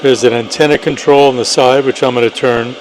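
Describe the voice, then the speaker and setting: A man's voice: mid-range voice, fairly even intonation, fairly quick. A man giving a casual, enthusiastic product demonstration in short plain phrases, as an amateur radio operator. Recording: noisy, radio static and hum.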